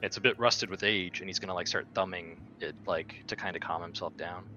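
Male game player, low voice, casual talk, dull recording with clear noise behind. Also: steady tone, brisk.

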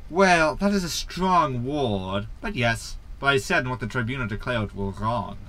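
An actor playing a glib, cocky impostor space captain in a sci-fi comedy audio drama, breezy and matter-of-fact. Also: male voice, mid-range voice, expressive, measured pace, pushed and bright.

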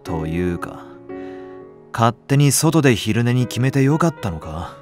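A man's voice: mid-range voice, big pitch swings, unhurried, a little mellow, soft background music. An actor playing a lazy, blunt young male nursery teacher who talks in a curt, casual way. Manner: curt, casual and a bit sulky. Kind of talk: anime voice drama acting.

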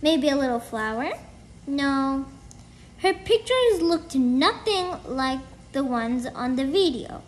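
A woman's voice: high-pitched, expressive, slow pace, slight background noise. A woman reading a children's storybook aloud in a careful, word-by-word way. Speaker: storybook reader. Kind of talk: children's book read-aloud.

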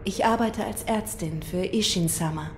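A woman's voice: on the low side, normal speed, noisy background, natural voice. A woman in an audio drama playing a composed, dutiful physician with a formal, reserved manner. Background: music.

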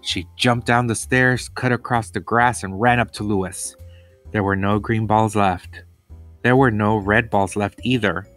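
A man reading a children's story aloud in a deliberate, expressive narration with pauses. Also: lowish voice, soft background music.

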